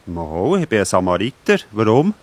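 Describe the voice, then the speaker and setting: A man's voice: on the low side, very animated, normal speed, a little mellow. A man playing a kind, reassuring Samaritan traveller in a children's audio drama, with a Swiss German accent. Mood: calm, reassuring. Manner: caring, reassuring. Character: kind, calm Samaritan traveller tending the wounded.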